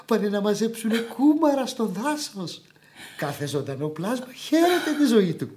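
An actor playing a fussy old widowed manservant in radio theatre, exclaiming and coaxing in a high, thin voice. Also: male voice, a little mellow. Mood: gently reproachful. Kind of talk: Greek radio theatre acting.

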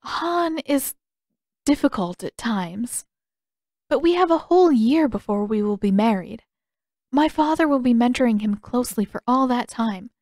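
A woman voicing a polite, dutiful young noblewoman with formal, measured phrasing in an audiobook story. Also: fairly high pitch, lively intonation, a little mellow, no echo, studio quality, pitched above the performer's own voice. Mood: dutiful, restrained.

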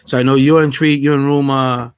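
A man's voice: mid-range voice, level tone, a little fast, smooth, no echo. A man from Queens, a guest on a live video chat, talking emphatically with many "like"s. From Queens, New York. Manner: emphatic, lots of "like", drawn out.

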